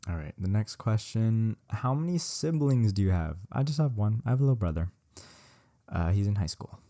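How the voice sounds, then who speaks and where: A man's voice: lowish voice, expressive, normal speed, dark tone. A young man from California, chatting casually on his own show, rambling and correcting himself, swearing a lot and saying "bro" over and over. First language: Vietnamese. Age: young adult. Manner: slangy and profane, says "bro" a lot. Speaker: solo podcast host. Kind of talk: casual solo Q&A chat.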